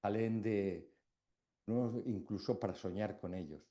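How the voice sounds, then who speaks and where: A middle-aged man from Extremadura giving a formal academic induction speech, reflective, with pauses between phrases. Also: fairly low pitch, dark tone. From Extremadura, Spain.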